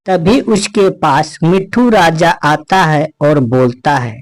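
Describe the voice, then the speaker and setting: A man's voice: fairly high pitch, lively intonation, slow pace, no room sound. A man narrating a scripted children's animal story as the storyteller.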